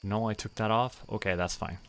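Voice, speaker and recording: A young man streaming a farming game, relaxed, muttering to himself and counting aloud. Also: on the low side, brisk. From Canada. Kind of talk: relaxed gameplay commentary.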